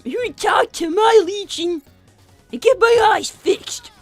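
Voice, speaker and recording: A woman's voice: very high-pitched, lively intonation, takes it slowly, dry recording, faint music behind, exaggerated voice. A woman voicing a bratty, blunt five-year-old cartoon boy who is demanding and melodramatic, in an improvised comedy livestream. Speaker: livestream co-host in character.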